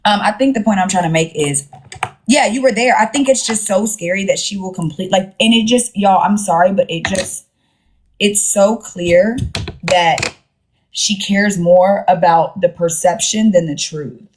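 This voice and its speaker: A woman's voice: medium pitch, unhurried, slight background noise. A woman hosting a casual live stream, talking in a blunt, sassy, slangy way with plenty of swearing. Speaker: livestream host.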